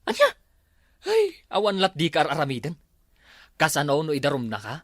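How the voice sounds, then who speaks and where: A man's voice: fairly high pitch, wildly swooping pitch, normal speed, no echo, clean studio sound. A man with an Ilocano accent playing a work-shy, drinking village husband in a comedy drama, with a hesitant drawl full of hums. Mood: bewildered, needling.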